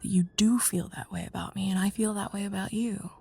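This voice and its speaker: Woman acting a husky, breathy young student confiding softly. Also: mid-range voice, steady tone, fairly quick. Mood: earnest, frustrated. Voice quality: soft breathy, husky, often near whisper. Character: young woman student, hurt but hopeful best friend in love. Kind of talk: intimate ASMR roleplay, one side of a dialogue.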